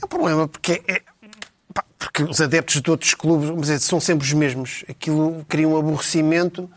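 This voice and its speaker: A man's voice: fairly high pitch, fairly quick. A man with a European Portuguese accent, co-hosting a casual chat and rambling with lots of fillers.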